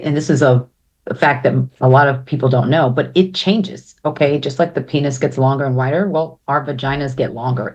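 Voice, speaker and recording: A woman urologist speaking as an interview guest, confident and direct, explaining clearly and checking in often. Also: extremely low pitch, darkish tone. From United States.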